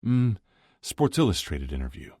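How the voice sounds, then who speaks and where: A man's voice: fairly low pitch, big pitch swings, moderate pace, a little mellow, no room sound, studio quality, pitched above the performer's own voice. A man voicing a modest, sincere young sports star who speaks a little hesitantly, in an audiobook romance. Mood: hesitant, sheepish. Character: earnest, modest male pro hockey star in love.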